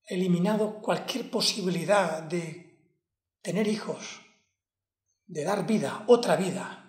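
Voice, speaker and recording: An impassioned male defense lawyer, played by a man addresses a jury in a dramatic monologue. His voice sits a little high and moves very slowly, each short phrase set down with solemn emphasis. The room around him rings with clear echo. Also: lightly acted. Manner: slow, deliberate, emphatic, broken into short phrases. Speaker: acting student. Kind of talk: dramatic courtroom monologue, acted to camera. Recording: echoey room.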